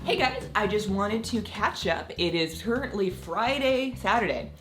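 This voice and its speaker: A woman vlogging casually about her convention trip, a little croaky from losing her voice, rambling with lots of filler words. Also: mid-range voice, animated, moderate pace, music in the background. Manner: chatty, rambling, many "like" fillers, laughs often. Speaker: gaming content creator. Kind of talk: casual vlog chat.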